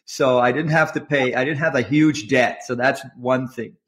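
A man's voice: mid-range voice, fairly even intonation, normal speed, a bit bright. A man from Nova Scotia, a doctor turned filmmaker, telling his story in a loose, frank way and laughing easily. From Canada, Nova Scotia. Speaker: guest filmmaker. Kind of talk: casual storytelling interview.

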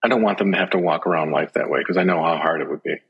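A middle-aged man talking reflectively as an interview guest, earnest and halting, repeating words as he thinks. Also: deep voice, husky, lo-fi sound. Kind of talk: reflective personal conversation.

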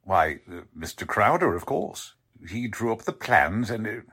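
An actor playing a polite, defensive warehouse manager in a 1940s radio drama, formal and hesitant in manner. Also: male voice, on the low side, sing-song, measured pace, a bit bright, breathy edge, heavily acted. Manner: courteous, hesitant, fussily formal.

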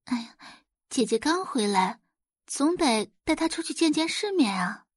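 A woman acts out a young rich-family daughter for an audio drama. She speaks in a soothing, coaxing way, all consideration on top and scheming underneath. The pitch moves a lot and the sound is dry and close. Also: big pitch swings, moderate pace, husky, dry recording, heavily acted. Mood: sweet, coaxing. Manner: gentle, coaxing.

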